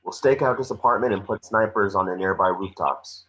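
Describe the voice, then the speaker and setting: A man's voice: fairly low pitch, brisk, fairly bright tone, lightly acted. A man playing a blunt, commanding FBI chief in a screenplay table read. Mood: concerned, firm. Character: gruff, hard-nosed male FBI chief, blunt and commanding. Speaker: amateur actor in an online table read. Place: remote video call. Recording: muffled video call audio.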